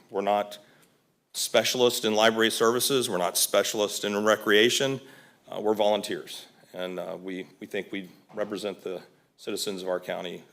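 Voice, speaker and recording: A man presenting to a county board as a volunteer, talking informally with fillers and restarts. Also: on the low side. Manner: conversational, many fillers and restarts. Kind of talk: public meeting presentation. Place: county commission meeting room.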